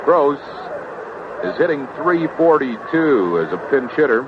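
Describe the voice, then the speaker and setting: A man calling baseball play-by-play in clipped, unhurried phrases on an old radio broadcast. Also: fairly high pitch, sing-song. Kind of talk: radio sports commentary. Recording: dull noisy vintage radio broadcast.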